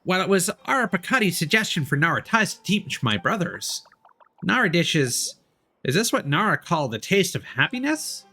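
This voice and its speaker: A little forest spirit from a fantasy game, put on by a man, naive and gentle in manner. The voice is bright and lively, with simple, earnest phrasing. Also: high-pitched, moderate pace, exaggerated voice. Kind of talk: voiced reading of game dialogue.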